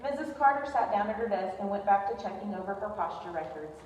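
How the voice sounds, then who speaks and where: A woman's voice: medium pitch, expressive, quick pace, darkish tone. Woman narrating a readers theater piece, far from the mic, super echoey hall. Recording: distant, echoey hall with faint noise.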